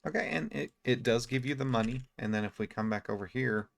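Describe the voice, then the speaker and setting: A man giving casual, low-key, explanatory video game commentary. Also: brisk, vocal fry.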